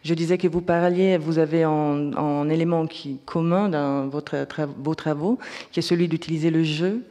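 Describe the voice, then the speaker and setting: A woman's voice: deep voice, steady tone, normal speed, mellow. A woman moderating a panel, stumbling and repeating words as she asks her question. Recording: reverberant lecture hall.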